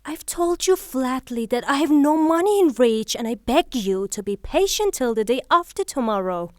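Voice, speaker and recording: A woman's voice: high-pitched, lively intonation, normal speed, no echo, studio quality. A woman acting in a theatrical audio drama as a proud, prim widow, formal and reproving. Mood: icy, reproachful. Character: proud, prim widowed lady, indignant and dignified.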